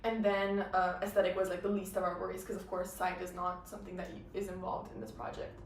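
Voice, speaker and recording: A young woman from Turkey speaks in a flat, even tone, pausing on fillers and restarting her phrases as she works through her thoughts. She is a design student chatting in a group. The mic sits at a distance in a roomy, echoing space. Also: lowish voice, slight background noise. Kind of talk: casual group interview. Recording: echoey room, distant mic.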